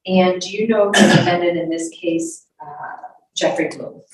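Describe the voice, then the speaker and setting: A woman's voice: on the low side, big pitch swings, unhurried. A woman speaking as a prosecutor in a courtroom, questioning a witness in a formal, orderly, procedural way. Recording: echoey courtroom, distant mic, faint noise.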